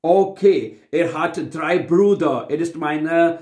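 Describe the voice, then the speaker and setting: A man's voice: on the high side, slow, a little mellow, reverberant. A middle-aged man from Pakistan teaching German, patiently asking questions and repeating the answers back. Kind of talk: bilingual language lesson, asking questions.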